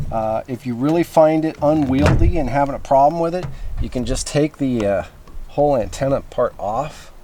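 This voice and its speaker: A man's voice: mid-range voice, background noise. A man giving a casual, rambling hands-on demonstration as he explains gear, in an informal manner. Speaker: presenter of CB radio antenna tests.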